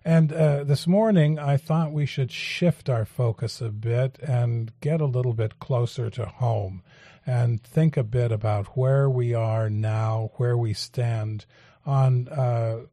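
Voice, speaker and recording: A male radio talk show host, interviewing guests in a measured, halting way with frequent fillers. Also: medium pitch, steady tone, mellow, no room sound, clean studio sound. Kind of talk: public affairs interview.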